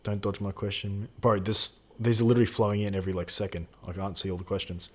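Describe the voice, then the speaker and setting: Young guy rambling on a live q&a, slangy, swears a lot, reads chat out loud. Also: lowish voice, flat delivery, quick pace. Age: 20s. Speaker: co-host of a live Q&A stream. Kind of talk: casual live-stream chat.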